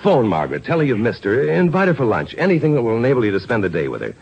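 Crime-fighting young lawyer: American, brisk and very animated, earnest, dull vintage radio sound. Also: male voice, mid-range voice, creaky, slightly rough, full character voice. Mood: decisive. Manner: earnest, decisive. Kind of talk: 1950s radio drama acting. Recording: dull old radio recording.

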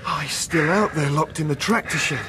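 An actor playing an anxious, jittery Antarctic researcher and loyal sidekick in a radio drama. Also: male voice, a little high, brisk, natural voice. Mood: uneasy. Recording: noisy drama soundscape, steady ambience.

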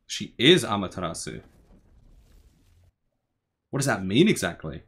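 A young man giving casual, slangy reaction commentary, musing aloud in a laid-back, tired, low-energy way. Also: big pitch swings, unhurried, fairly bright tone, husky. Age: young adult. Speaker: anime reaction host. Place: small bedroom, indoors.

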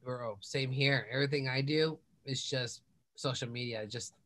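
A man's voice: medium pitch, moderate pace, fairly bright tone. A young man hosting a podcast over a video call, answering with keen, short agreements. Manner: enthusiastic, short agreeing replies. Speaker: podcast host. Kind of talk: casual video call chat. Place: room lit with green lights indoors.

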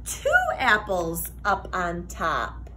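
A young woman reading a children's picture book aloud like a teacher, expressive, sing-song and deliberate. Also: fairly low pitch, slight background noise. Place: classroom, indoors.

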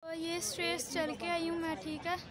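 A woman's voice: extremely high pitch, monotone, moderate pace. A young woman vlogger casually narrating a walking tour, often checking in with "theek hai" as she shows things around. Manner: chatty, keeps adding "theek hai" while showing around. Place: outdoors at a large mosque courtyard. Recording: outdoor phone recording with crowd and ambient noise.

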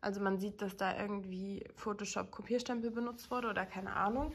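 A woman's voice: mid-range voice, level tone, a little fast, slight background noise. A young woman chatting casually to the camera as a vlogger at home, rambling a little with fillers. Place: apartment living room and kitchen.

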